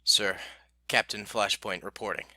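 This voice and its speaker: A man's voice: lowish voice, fairly even intonation, measured pace, sharp tone, no echo, voice pushed down. A man voicing a battered but loyal male clone captain giving a formal soldier's report. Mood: dutiful.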